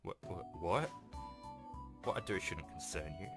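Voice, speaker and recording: Man acting teenage schoolboy, visual novel character, defensive, stammering, low-pitched, game music behind. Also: animated, measured pace. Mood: flustered. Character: teenage schoolboy, earnest, defensive, easily flustered.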